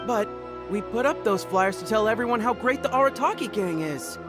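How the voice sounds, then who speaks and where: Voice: mid-range voice, expressive, normal speed, close to an everyday voice. An actor playing an eager, flustered young gang underling in a video game, hesitant and stammering. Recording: music faintly behind the voice.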